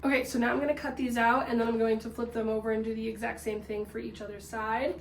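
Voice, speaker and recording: A woman's voice: a little high, level tone, fast talker, gravelly, background noise. A woman in her twenties who is losing her voice after being sick, chatting upbeat and self-deprecating to camera from her home as a sewing vlogger. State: losing her voice, recovering from illness. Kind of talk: casual chat to camera. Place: home kitchen and living room.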